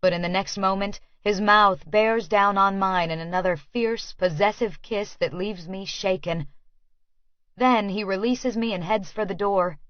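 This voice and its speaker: A woman's voice: mid-range voice, a little slow, no room sound, lo-fi sound. A woman reading a scripted first-person novel as an audiobook narrator.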